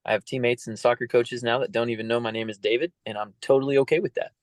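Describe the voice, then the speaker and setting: A man's voice: mid-range voice, fairly even intonation, fast talker, dry recording. A man in his thirties from the United States, talking earnestly and reflectively as a podcast guest, with many fillers. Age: 30s. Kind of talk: casual interview talk.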